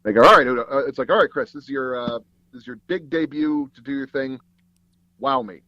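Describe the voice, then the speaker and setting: Man, interview guest, casual storyteller, animated, acts out dialogue, medium pitch, muffled lo-fi audio. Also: brisk, dry recording. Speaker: guest, voice actor and audio drama producer.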